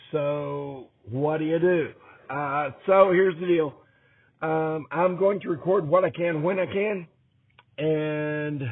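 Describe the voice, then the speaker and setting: A man hosting a podcast with a hoarse, nearly lost voice, talking casually and apologetically to listeners in a halting, plain-spoken way. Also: on the high side, dry recording. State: hoarse, voice nearly gone from illness. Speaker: podcast host. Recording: muffled, phone-like narrow sound.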